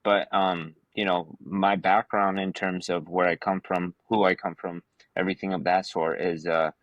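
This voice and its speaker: A man's voice: sits low, little pitch movement, moderate pace, dry recording, lo-fi sound. A man from Afghanistan talking as a podcast guest in a casual, emphatic and slangy storytelling way.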